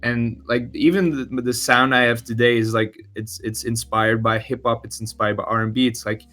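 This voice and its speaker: A man's voice: medium pitch, steady tone, normal speed, a bit bright. A young man from Belgium with a Belgian Dutch-speaking accent, a guest musician talking casually and hesitantly, with many fillers and restarts. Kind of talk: casual podcast interview.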